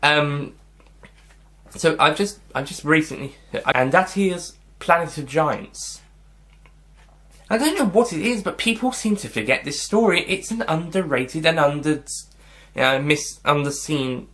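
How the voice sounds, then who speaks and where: A man's voice: a little high, measured pace, fairly bright tone. A man hosting a casual video review, talking to viewers in a rambling, hesitant way and often rewording himself. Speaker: host of a video review channel.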